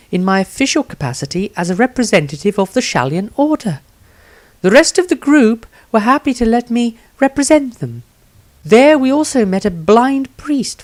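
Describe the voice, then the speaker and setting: A woman voicing an earnest, devout priestess adventurer in a fantasy audiobook, with measured delivery. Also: fairly high pitch. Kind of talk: fantasy audiobook character reading.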